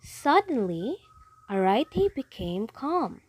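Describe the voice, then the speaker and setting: A woman's voice: fairly high pitch, very animated, slow, slightly rough, poor audio. A woman narrating a story expressively for a reader's theatre.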